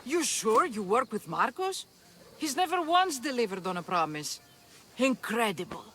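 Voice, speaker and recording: Grudging game villager, high bright voice, emphatic, incredulous. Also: male voice, sing-song, moderate pace, a little hiss or hum, full character voice. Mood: exasperated. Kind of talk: video game cutscene acting.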